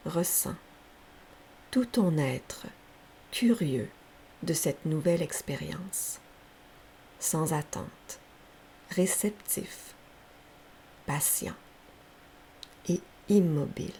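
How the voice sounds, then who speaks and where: A woman guides a relaxation practice in a soft, gravelly low murmur with frequent creak. She speaks very slowly and gently, leaving each instruction room to settle. A faint hiss sits behind her voice. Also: poor audio. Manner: soft, breathy, unhurried, gentle. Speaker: yoga nidra teacher. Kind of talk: guided meditation, slow soothing instruction. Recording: faint steady background hiss.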